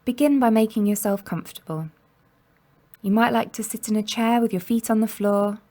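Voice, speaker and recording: A woman guiding a meditation in a gentle, soothing and unhurried manner, leaving long pauses between instructions. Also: a little high. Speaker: mindfulness meditation guide. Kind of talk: guided body scan meditation narration.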